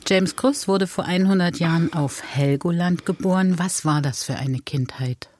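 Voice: medium pitch, moderate pace, a little mellow. A radio interviewer asking precise, composed questions and steering the conversation.

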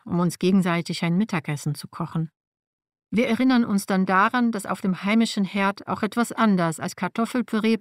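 A woman's voice: mid-range voice, dry recording, studio quality. A woman whose first language is Slavic reads a personal nonfiction text aloud in a wry, matter-of-fact way. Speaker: narrator.

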